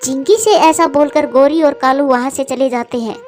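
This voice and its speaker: Woman narrator, very high pitch, scripted children's storytelling, faint background music. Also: normal speed, darkish tone.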